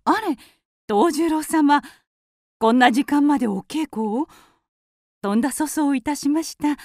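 Polite married woman of old Kyoto, period radio drama. High, unhurried, old-fashioned courtesy. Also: dry recording, studio quality. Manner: soft-mannered, deferential, old-fashioned polite speech.

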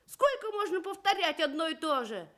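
Woman voicing a grumpy, animated badger. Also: very high-pitched, normal speed, voice pushed up, heavily acted. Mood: indignant. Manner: grumbling, indignant. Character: grumpy, stubborn male badger.

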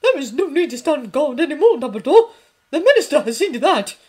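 A woman reading a story voices a stern older female professor, loud, shrill and indignant. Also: sing-song, a little fast. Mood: furious.

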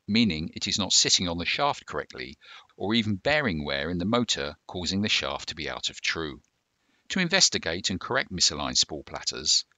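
A man presenting a scripted instructional narration in a measured, formal, explanatory manner. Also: sits low, pushed and bright, no room sound.

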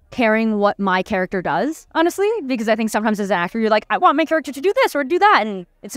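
A young woman in her twenties talks into an interviewer's handheld mic at a premiere. Her voice is smooth and clear, the sound dry and close. She muses through her answers with fillers and restarts. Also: mid-range voice, no room sound. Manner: thoughtful, many fillers and restarts. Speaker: actor interviewed on a red carpet. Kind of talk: casual red-carpet interview. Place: busy premiere red carpet, indoors. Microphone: handheld mic held by interviewer.

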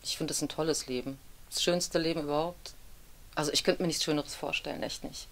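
A woman's voice: low-pitched, steady tone, fairly quick. A woman in her thirties, a voice actress, talking casually and candidly in a studio interview, with a self-deprecating streak. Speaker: audiobook narrator and dubbing actress being interviewed. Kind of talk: casual, reflective interview talk. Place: indoor studio with dark backdrop.

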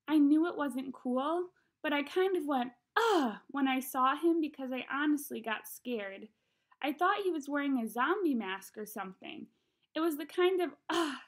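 A young adult woman giving a storybook read-aloud, recorded in a room at home on a webcam at arm's length. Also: fairly high pitch. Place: small home room, indoors.

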